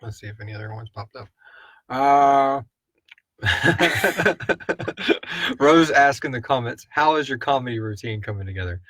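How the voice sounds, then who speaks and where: A man's voice: mid-range voice, unhurried, long pauses, a bit bright, no echo. A man hosting a podcast, chatting casually and thinking aloud as he answers listener questions. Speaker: podcast host and creator. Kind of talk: casual live Q&A chat.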